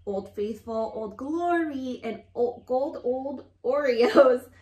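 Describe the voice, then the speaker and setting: A woman with a high voice reads a picture book to young children. Her delivery is sing-song and encouraging, as a storytime teacher would be. The room around her is live and echoey. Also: takes it slowly, a little mellow. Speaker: storytime reader. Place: living room, indoors. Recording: roomy, echoey home recording.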